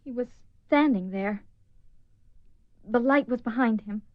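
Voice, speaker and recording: An actress plays a young woman in mourning in a radio play, anxious and defensive. Her fairly high voice is plaintive and emotional. The recording is very dry and a little dull. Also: measured pace, dry recording, lightly acted. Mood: frightened. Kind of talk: radio drama acting.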